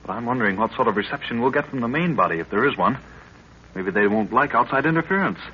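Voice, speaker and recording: An actor playing an eager but wary young explorer, urgent and earnest, in 1930s radio style. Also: male voice, medium pitch, animated, fast, close to an everyday voice. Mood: earnest, alarmed. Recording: muffled, noisy 1930s radio recording.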